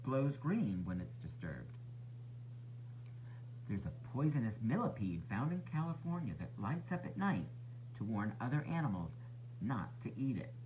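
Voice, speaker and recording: A man narrating a children's storybook as a teacher would, expressive and instructive for young listeners. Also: fairly low pitch, slight background noise, rough recording. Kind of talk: children's read-aloud narration.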